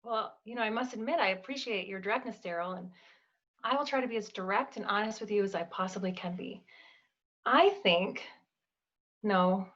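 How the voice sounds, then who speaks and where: An actress playing a prim, scornful woman with a precise, deliberate, cutting delivery in a theatrical monologue. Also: medium pitch, a bit bright, highs cut off, lightly acted. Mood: coolly polite. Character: prim, scornful woman bluntly rejecting a suitor.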